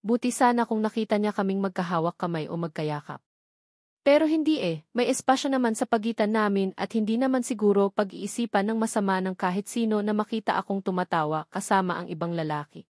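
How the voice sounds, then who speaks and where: A woman's voice: mid-range voice, brisk, a little mellow, no echo, studio quality. A woman with a Filipino accent reading a first-person novel aloud as a scripted narration. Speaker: audiobook narrator.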